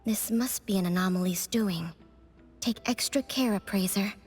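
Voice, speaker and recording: A woman playing an enigmatic fortune-telling witch in a video game, speaking in a cryptic, deliberate, oracular manner. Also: medium pitch, darkish tone, slightly rough, natural voice. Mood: solemn warning. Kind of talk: scripted video game voice acting. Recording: music behind the voice.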